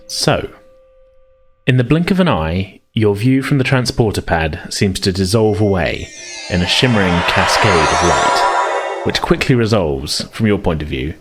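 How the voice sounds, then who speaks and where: A man's voice: deep voice, sing-song, measured pace, loud music behind the voice. A man hosting a tabletop game podcast and narrating in a conversational, slightly hesitant way. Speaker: podcast host and game master.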